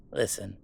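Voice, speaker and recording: A man's voice: medium pitch, vocal fry, bright, forceful tone, slightly rough, exaggerated voice. A man voicing a playful, self-deprecating werewolf streamer in a one-sided roleplay audio drama, full of teasing banter and dramatic sarcasm. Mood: fond, teasing. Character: wisecracking young male werewolf streamer, affectionate and self-deprecating.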